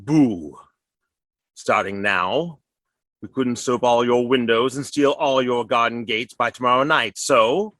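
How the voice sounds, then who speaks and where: An actor playing a genial radio director signing off a broadcast with a wry, playful manner. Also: male voice, mid-range voice, a bit bright, no echo, close to an everyday voice. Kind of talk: 1930s radio acting.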